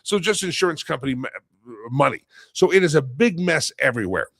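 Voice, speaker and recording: A man's voice: fairly high pitch, animated, brisk, a bit bright, no room sound, clean studio sound. A man hosting a live talk show, rambling with lots of fillers and a slightly nasal head cold.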